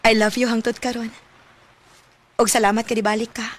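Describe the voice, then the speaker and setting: A woman's voice: a little high, flat delivery, brisk, lightly acted. A woman with a Cebuano accent acting a frank, forward young woman in love in a radio drama. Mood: frank, tender. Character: frank, forward young woman reunited with her old crush.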